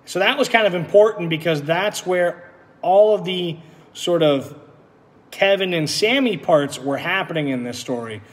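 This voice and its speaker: A man's voice: sits high, moderate pace. A man speaking as a teacher to young children, in the style of a read-aloud lesson. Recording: echoey room with long reverb.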